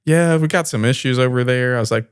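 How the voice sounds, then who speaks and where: A man's voice: mid-range voice, fast talker, smooth, no echo, studio quality. An American man hosting a podcast, speaking casually and conversationally in a joking, self-deprecating way. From small-town Missouri, United States. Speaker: podcast host.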